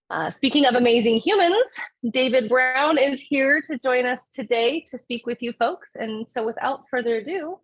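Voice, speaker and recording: A woman in her forties opens the session from her office on a video call. Her voice is fairly high and close with a dry sound, and she speaks in a friendly way with a few ums. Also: no echo, low-quality recording. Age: 40s. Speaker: principal investigator and meeting host. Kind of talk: webinar introduction.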